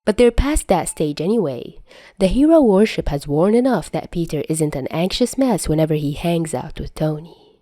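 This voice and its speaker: A woman's voice: lowish voice, expressive, normal speed, warm tone, dry recording. A woman reading scripted fiction aloud as the narrator of an audio story. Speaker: podfic narrator.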